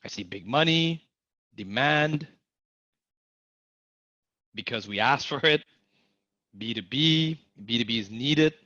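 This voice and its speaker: Male instructor running a live online sales class, energetic and interactive, dry dull audio. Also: mid-range voice, slow pace, long pauses, no room sound. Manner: energetic, asks audience to type in the chat.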